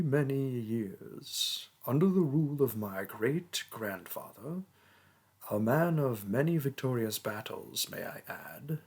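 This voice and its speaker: A man playing a vain, haughty mage king in a theatrical audio roleplay, speaking with condescending pomp and a breathy voice. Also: mid-range voice, exaggerated voice. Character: pompous, vain mage king, haughty toward his peasant subject. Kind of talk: theatrical roleplay monologue and storytelling.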